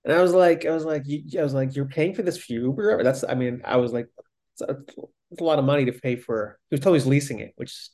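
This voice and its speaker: A man's voice: medium pitch, fast, a little mellow. A young man co-hosting a casual podcast, telling rambling stories full of restarts and quoted asides. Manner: rambling storyteller, many "I was like" restarts. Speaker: podcast co-host. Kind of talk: casual chat.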